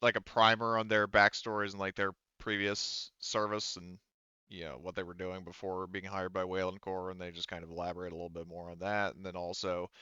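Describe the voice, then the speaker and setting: A man running a tabletop roleplaying game as game master, feeling his way through the narration with halting, repetitive phrasing. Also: low voice, sharp tone, smooth, dry recording, muffled recording. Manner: halting, hesitant phrasing, repeats "you know" and "like".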